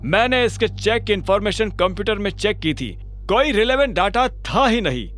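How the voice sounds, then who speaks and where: A man's voice: high voice, expressive, normal speed, a bit bright, faint music behind, voice pushed up. A man voicing a defensive, hesitant, pleading bank employee in an audio drama. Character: flustered, whiny male bank employee, defensive underling.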